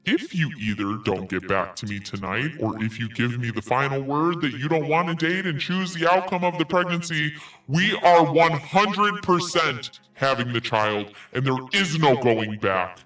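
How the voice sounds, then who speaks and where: A man's voice: mid-range voice, moderate pace, fairly bright tone, distant mic, faint music behind, rough recording. A man podcast host reading an email aloud in a theatrical, emphatic way. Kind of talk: dramatic read-aloud of an email.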